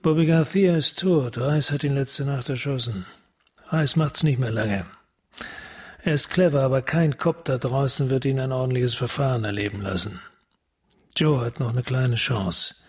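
A tough police detective in a radio crime drama. His husky, mid-pitched voice is insistent and matter-of-fact. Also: normal speed. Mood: insistent, hard-boiled. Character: determined, hard-boiled male police detective making a deal.

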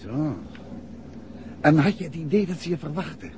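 An actor playing a composed, courteous and determined detective in a 1960s radio play. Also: male voice, fairly high pitch, very animated, moderate pace. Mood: calm, considerate. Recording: dull, noisy old radio recording.

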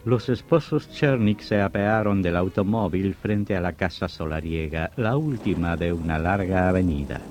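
A man's voice: deep voice, moderate pace, hardly any pauses, darkish tone. A man narrating a horror radio drama in a solemn, theatrical way. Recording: dull vintage radio recording, music behind.

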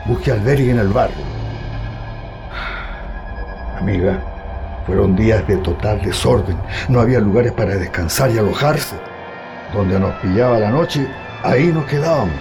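A man's voice: fairly low pitch, moderate pace, gravelly. An actor playing a somber Chilean survivor, narrating his memories confidingly in a radio drama. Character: somber male survivor recalling the coup to a friend. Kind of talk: dramatized first-person narration. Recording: loud music behind narration.

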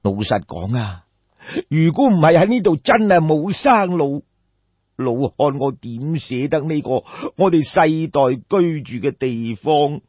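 A man voicing a destitute old peasant in an audiobook story, sorrowful and respectful, with sighing, plaintive, drawn-out emphatic phrases. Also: fairly high pitch, big pitch swings, breathy edge, no echo, exaggerated voice. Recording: dull, muffled lo-fi audio.

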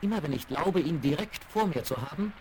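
Earnest gentleman adventurer, lively intonation, creaky edge, courteous, 1970s western audio drama, faint vinyl noise. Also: on the high side, brisk. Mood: earnest, wary. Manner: polite, expressive, well-spoken. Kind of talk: 1970s children's western radio play acting. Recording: faintly crackly vinyl radio play rip.

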